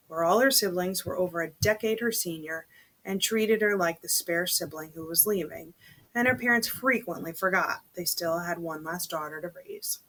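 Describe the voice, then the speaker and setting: Lady reading a book out loud, kinda low voice, chatty little comments to herself. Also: rough recording. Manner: chatty, breaks off to comment in undertones. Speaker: read-aloud host reading a novel. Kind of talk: read-aloud storytelling with casual asides.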